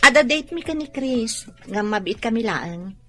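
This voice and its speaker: A woman's voice: on the high side, expressive, moderate pace, fairly bright tone, faint music behind. An actress with a Filipino accent playing an outspoken, bantering young woman in a radio drama.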